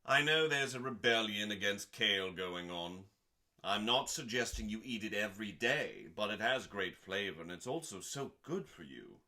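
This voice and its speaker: A man hosting a dramatic cookbook reading, delivering recipes in a measured, theatrical way with deliberate pauses and deadpan asides. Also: lowish voice. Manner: theatrical, measured, with pauses between short phrases.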